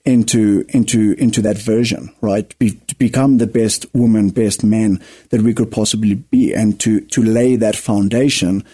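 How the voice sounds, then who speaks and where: A man's voice: lowish voice, steady tone, moderate pace, hardly any pauses, mellow. Earnest south african man, afrikaans native. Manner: earnest, repeats words as he searches for them. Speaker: guest, rugby player. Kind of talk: casual interview talk.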